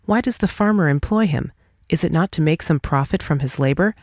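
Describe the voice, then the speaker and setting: A woman reading the part of a confident, pointed individualist debater in an audiobook dialogue, articulate and deliberate. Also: deep voice, animated, darkish tone, no echo, studio quality. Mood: pointed, explanatory. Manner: articulate, deliberate, rhetorical questioning.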